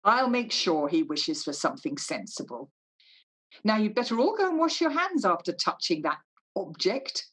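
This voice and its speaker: A woman acting in a radio play as a brisk, wry, fussing mother with a bossy, scolding manner. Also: mid-range voice, big pitch swings, a bit bright, breathy edge.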